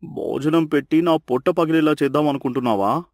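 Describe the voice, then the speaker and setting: A man's voice: fairly high pitch, a little fast, lightly acted. A man playing a grumbling, easily irritated husband in a cartoon story, blunt and complaining. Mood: teasing complaint.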